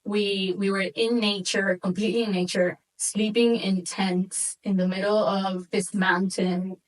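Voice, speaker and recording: A young woman from Venezuela speaks as an interview guest, picked up from a little way off the microphone. Her talk is informal, animated storytelling at a middling pitch, sprinkled with Spanish words. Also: unhurried, distant mic, rough recording. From Venezuela, moved to the United States at eight. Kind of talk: casual conversational storytelling.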